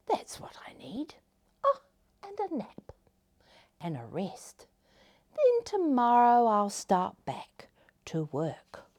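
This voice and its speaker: A woman voicing a lazy, grumbling male caterpillar from a children's storybook, with drawn-out, theatrical complaining. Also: medium pitch, darkish tone, full character voice. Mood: self-indulgent.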